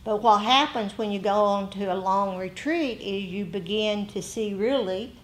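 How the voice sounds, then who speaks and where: An American woman speaks at an unhurried, slow pace with a mid-range pitch. She teaches meditation informally to a group, talking conversationally in short phrases with frequent pauses. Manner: conversational, pausing often between short phrases. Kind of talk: informal dharma talk to a group.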